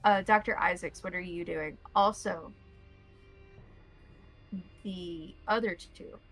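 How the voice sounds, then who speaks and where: A woman's voice: lowish voice, slow pace, a bit bright, faint music behind. A woman acting as game master, explaining and describing scenes in detail for a tabletop role-playing game.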